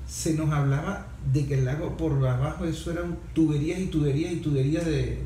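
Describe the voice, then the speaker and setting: Higher-pitched Venezuelan man hosting in an echoey room. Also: fairly even intonation, brisk, warm tone. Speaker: radio talk show host. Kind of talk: conversational radio talk about environmental issues. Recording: echoey room with background noise.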